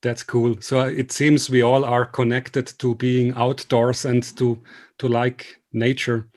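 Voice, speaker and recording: Swiss man, earnest and halting interviewer. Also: medium pitch, level tone, a little mellow. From Switzerland. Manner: earnest, polite, halting speech. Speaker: interviewer and video series host. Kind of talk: informal remote interview.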